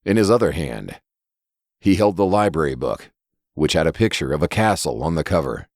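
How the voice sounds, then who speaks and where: Man, very low voice, scripted horror narration, dry close sound. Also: big pitch swings, moderate pace, a little mellow, dry recording.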